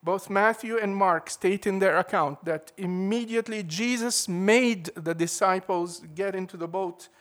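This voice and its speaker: A man preaching a sermon in a measured, emphatic way, leaning hard on key words. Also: sits high, a bit bright.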